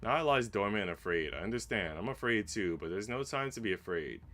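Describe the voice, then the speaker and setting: A man reading a visual novel aloud as a streamer, in an expressive, dramatic narration that drops hushed and rises with emphasis. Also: fairly low pitch, a little fast. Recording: faint game music behind. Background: game music.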